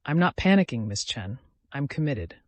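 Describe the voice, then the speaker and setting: A man's voice: medium pitch, big pitch swings, moderate pace, no room sound, natural voice. A man voicing a determined, haunted young man in his twenties, speaking earnestly in a dramatic audio story. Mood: firm, intense. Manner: earnest, resolute.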